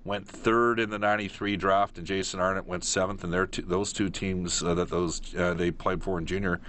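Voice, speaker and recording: Male sports talk host, low register, emphatic and halting delivery, faint background noise. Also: flat delivery, a bit bright. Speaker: sports radio call-in host. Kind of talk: live phone-in sports commentary.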